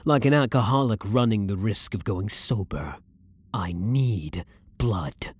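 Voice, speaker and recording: A man playing a blunt, brooding vampire hunter, himself a vampire, in a measured, dominant ASMR roleplay with a breathy voice. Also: fairly low pitch, dry recording. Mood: hungry, self-loathing. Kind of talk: ASMR roleplay, dramatic monologue.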